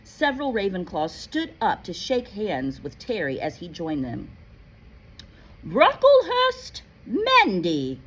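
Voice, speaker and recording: A woman reading a story aloud in an animated, playful, expressive way, like a teacher. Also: lowish voice, moderate pace, hardly any pauses, low-quality recording. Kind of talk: expressive read-aloud narration with casual asides.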